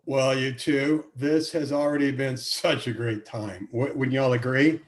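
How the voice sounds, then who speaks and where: A man's voice: mid-range voice, steady tone, measured pace, close to an everyday voice. A man acting a folksy, jovial older relative of a bride in a comedy table read, chatty and hearty. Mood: eager, friendly.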